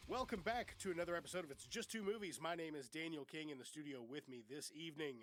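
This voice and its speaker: A man's voice: a little high, quick pace, a bit bright, no echo. A man hosting a casual movie podcast in a home studio, congested and sick with a cough, chatty and laid-back with casual swearing. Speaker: podcast host. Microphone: studio microphone.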